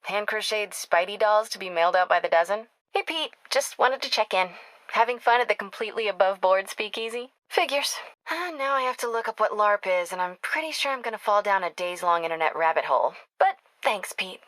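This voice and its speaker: Female actor for young American investigative reporter, video game: mid-pitched, crisp bright edge, sharp and determined, wry natural phone delivery. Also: animated, no room sound, natural voice. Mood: friendly, upbeat, then wry, teasing. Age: young adult. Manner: wry, brisk, conversational.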